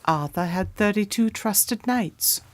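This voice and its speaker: A woman's voice: mid-range voice, slow, no echo, clean studio sound, lightly acted. A woman playing a solemn, courtly noblewoman of about thirty in a radio drama, speaking in formal, archaic phrasing. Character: solemn, courtly 31-year-old noblewoman guarding a royal sword.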